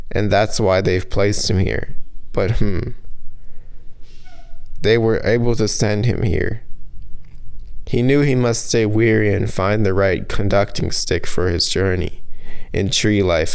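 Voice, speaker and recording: Slow, low-voiced man narrating fantasy. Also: darkish tone, smooth, rough recording. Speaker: author reading own audiobook. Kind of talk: scripted fantasy audiobook narration.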